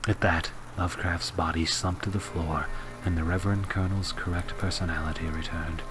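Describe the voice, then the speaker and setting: A man's voice: low-pitched, little pitch movement, brisk, over music. A man giving dramatic, scripted narration for a horror-style audio drama.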